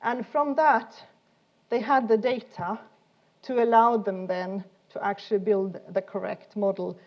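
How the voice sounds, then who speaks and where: A woman's voice: mid-range voice, fairly even intonation, measured pace, dull audio. A woman giving an informal science lecture in an explanatory, hesitant way, often repeating words as she goes. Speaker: speaker giving a talk.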